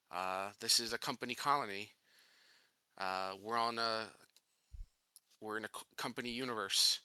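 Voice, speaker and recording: Very bright, crisp-voiced man roleplaying. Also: mid-range voice, dry recording. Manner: halting, improvised delivery. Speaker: tabletop game player. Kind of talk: casual narration of his character's actions and speech.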